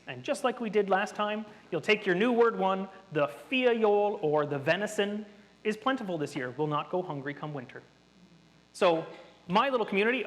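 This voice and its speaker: Guy leading a workshop, kinda high voice, chatty and nerdy, big reverby hall. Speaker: workshop presenter. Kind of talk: interactive workshop talk. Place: hall with an audience.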